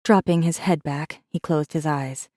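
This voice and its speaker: A woman's voice: low-pitched, unhurried, dark tone, dry recording, clean studio sound. A woman narrating an audiobook in the first person as a guarded, defiant rebel messenger, controlled and wry. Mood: guarded, observant.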